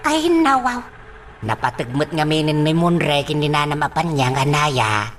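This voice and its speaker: A man's voice: fairly high pitch, big pitch swings, normal speed, a bit bright, slight background noise. A man playing a soothing, sighing old father in an Ilocano radio drama, given to groaning interjections. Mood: fretful, consoling.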